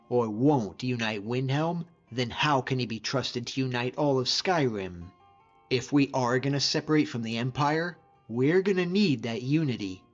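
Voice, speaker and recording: A man's voice: mid-range voice, lightly acted. Man acting plain-spoken hunter, slightly muffled game audio. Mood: earnest. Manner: plain-spoken, informal, reflective. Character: hardy male hunter and frost mage, easygoing, sincere, reflective. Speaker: companion character in a fantasy game mod. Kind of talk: conversational scripted game character dialogue.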